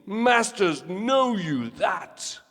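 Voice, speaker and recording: An actor playing a vain, tipsy Shakespearean stage star with grandiose theatrical delivery in a radio play. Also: male voice, sits high, big pitch swings, very slow, fairly bright tone, in a large hall, exaggerated voice. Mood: grand, mad declaiming.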